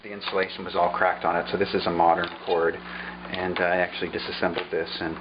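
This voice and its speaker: A man casually showing off and explaining an old radio, unhurried and thinking aloud with fillers. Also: deep voice, little pitch movement, dull audio, background noise. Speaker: vlogger showing a vintage radio. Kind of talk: casual show-and-tell demonstration.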